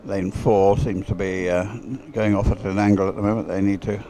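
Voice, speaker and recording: A man calling a race live in a halting way, repeating words and dropping dry asides. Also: low-pitched, little pitch movement, warm tone. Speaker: race commentator.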